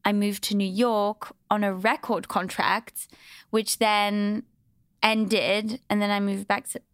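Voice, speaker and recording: A woman's voice: medium pitch, flat delivery, takes it slowly, clear, resonant tone, no room sound, clean studio sound. A young woman from Switzerland chatting casually as a podcast guest, blunt and opinionated, swearing freely. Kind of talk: casual chat.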